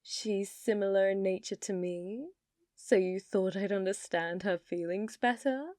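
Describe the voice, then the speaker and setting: A woman's voice: medium pitch, unhurried, a little mellow, no echo, studio quality, natural voice. A woman voicing an ancient, possessive female yokai in an intimate ASMR roleplay, coyly sweet yet menacing, with a teasing manner that often drops toward a whisper. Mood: teasing, curious.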